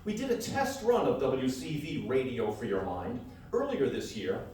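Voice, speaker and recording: Echoey, animated showman on a live stage. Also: medium pitch, normal speed. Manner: mock-grand showman announcing. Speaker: comedy radio show performer and co-host. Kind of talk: scripted live comedy banter to an audience. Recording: very echoey hall, distant mic, faint noise.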